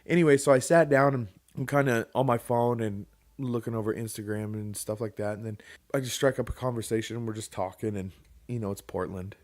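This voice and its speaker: A man's voice: mid-range voice, fairly even intonation, a little fast. A young man trades easy banter as a podcast co-host. He talks in a loose, joking way and drops the odd swear word without fuss. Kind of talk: casual chat.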